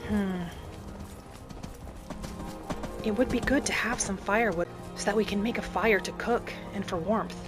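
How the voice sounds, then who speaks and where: Casting: woman as gentle wood elf wanderer for fantasy game roleplay; mid-pitched, measured, reflective; clear music bed. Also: close to an everyday voice. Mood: thoughtful, practical. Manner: musing aloud, measured, speaking in short reflective phrases. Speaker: roleplay gamer narrating in character. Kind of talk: in-character game roleplay monologue. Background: background music.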